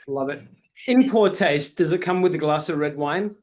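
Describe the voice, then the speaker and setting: An Australian man with a fairly high voice works as an interviewer. He talks casually and enthusiastically, with a playful streak and mild exclamations. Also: normal speed. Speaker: interviewer, host. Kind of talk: casual promotional interview chat.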